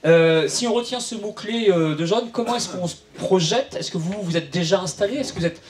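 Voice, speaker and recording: A man moderates a panel in a large event tent, asking long, probing questions that wind around before landing. His voice sits fairly high for a man, with faint ambient noise under it. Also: fairly quick, darkish tone. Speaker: journalist moderator. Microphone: handheld microphone.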